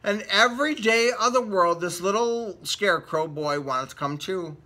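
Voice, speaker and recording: A man's voice: sits high, lively intonation, normal speed, sharp tone. A man reading a children's storybook aloud, dramatically stretching and repeating words for effect. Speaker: storybook reader. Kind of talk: animated read-aloud for children.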